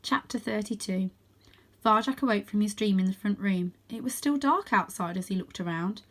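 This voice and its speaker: A woman with a mid-pitched voice reads a children's story aloud. She delivers it as scripted narration, as a storybook reader. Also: normal speed, a bit bright.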